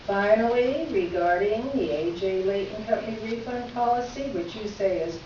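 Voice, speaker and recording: A woman acts out a polite, pointed female columnist in a readers theater piece. She reads her letter in measured, formal phrases with dry irony. The sound is very echoey and somewhat dull, with faint noise. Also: medium pitch, a little mellow, close to an everyday voice. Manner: formal, measured, drily ironic. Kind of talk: staged readers theater, reading a letter aloud. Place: live reading in a reverberant room. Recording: echoey room from far off, dull, noisy.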